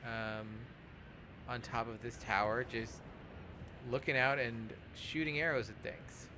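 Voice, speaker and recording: A man hosts a casual let's play in a mid-pitched voice. He explains in a halting way, often doubling back on his words. Clear noise and game ambience sit behind him. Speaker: let's play podcast host.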